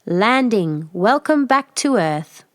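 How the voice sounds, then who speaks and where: A woman's voice: medium pitch, lively intonation, takes it slowly, no room sound, clean studio sound. A woman voicing an urgent spaceship computer that makes announcements in a children's picture book. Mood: announcing.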